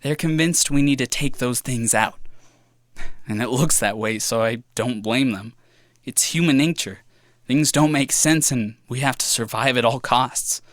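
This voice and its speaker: An actor playing a young man haunted by memory gaps, talking to himself in a halting, self-questioning audio drama monologue. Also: medium pitch, dry recording, studio quality, lightly acted. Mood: troubled. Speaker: lead character's monologue. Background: music.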